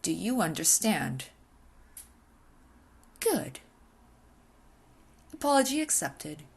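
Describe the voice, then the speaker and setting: Breathy, possessive vampire woman, acted by a woman. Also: fairly low pitch, very animated, slow pace, full character voice. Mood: commanding. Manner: breathy, intimate, often dropping into whispers. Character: obsessive, possessive female vampire, hungry and coolly domineering. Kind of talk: ASMR roleplay acting.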